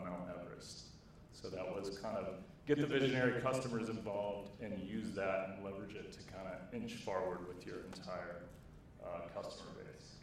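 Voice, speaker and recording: A man's voice: on the low side, a little hiss or hum. A man in his forties giving a short summary at a conference through a handheld mic, upbeat and asking the audience questions. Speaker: conference session presenter. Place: large conference room. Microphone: handheld microphone. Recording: echoey room heard from a distance.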